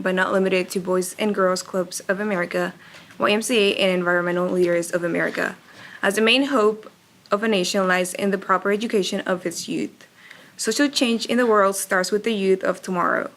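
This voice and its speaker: Young woman reading a pitch steadily. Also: a bit bright. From Texas. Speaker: student presenter. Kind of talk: reading a prepared presentation.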